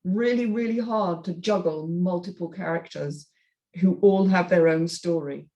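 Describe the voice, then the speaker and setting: A woman's voice: on the low side, unhurried, mellow, muffled recording. A British woman hosting a casual panel discussion, talking thoughtfully and conversationally, often seeking agreement. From Britain. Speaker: host of a script reading panel.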